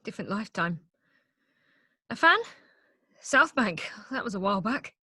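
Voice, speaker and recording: A woman's voice: medium pitch, very animated, moderate pace, sharp tone, breathy edge, no room sound, clean studio sound, full character voice. A woman playing a worn-out, guarded, ageing artist in a scripted drama table read, hesitant and curt. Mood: flustered, guarded. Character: worn-out, guarded, ageing struggling female artist, thrown off track. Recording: video call.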